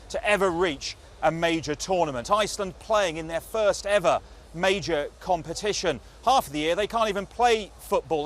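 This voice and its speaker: A man giving a scripted broadcast sports report in an emphatic, scornful way. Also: fairly high pitch.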